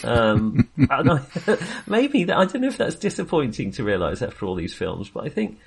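A man co-hosting a film podcast, talking casually in a halting, rambling, self-deprecating way. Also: medium pitch, wildly swooping pitch, vocal fry, breathy edge.

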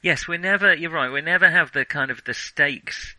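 Bright, crisp-edged man, thinking aloud. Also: fairly high pitch, normal speed, no echo, poor audio. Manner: hesitant, self-correcting, thinking aloud. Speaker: film podcast co-host. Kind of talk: casual film discussion.

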